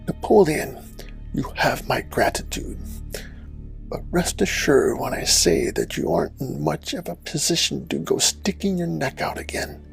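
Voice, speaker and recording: A man playing a stern, imperious master and parent in an audio drama, speaking in a formal, haughty, measured way. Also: on the high side, huge pitch swings, vocal fry, soft background music, full character voice. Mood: formal, cool. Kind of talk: audio drama character acting.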